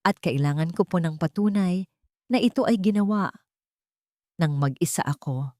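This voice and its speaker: Woman narrator as wronged wife in audio romance drama: Filipino accent, composed, firm, lowish voice. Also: normal speed, dry recording, clean studio sound, natural voice. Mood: calm, resolute. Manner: calm, firm. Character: wronged pregnant wife, calm, resolute, protective.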